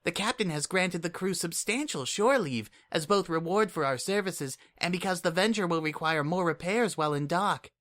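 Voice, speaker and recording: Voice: medium pitch, expressive, moderate pace, few pauses, a bit bright, pitched above the performer's own voice, close to an everyday voice. A reader voicing a small young female changeling child who speaks formally, calling herself "this one", earnest and eager in an audiobook. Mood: concerned.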